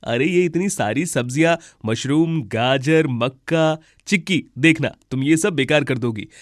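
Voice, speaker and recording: A man's voice: fairly high pitch, unhurried, no room sound, clean studio sound, close to an everyday voice. A man voicing a scornful, fault-finding crow uncle in a children's cartoon, scoffing and exclaiming. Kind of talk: Hindi animated children's story dialogue.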